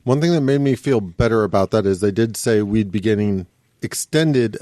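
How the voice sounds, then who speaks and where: A man co-hosting a casual podcast, explaining things in a measured, conversational way. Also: on the low side, warm tone, no echo. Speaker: podcast co-host. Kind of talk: casual chat.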